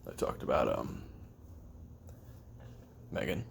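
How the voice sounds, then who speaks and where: A man playing a weary, lonely, bitter assassin recording a halting, confessional audio-drama memoir in a gravelly voice. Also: very deep voice, very animated, slight background noise, full character voice. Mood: dry, rambling. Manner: halting, brooding, self-deprecating, trailing off. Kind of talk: confessional audio roleplay monologue.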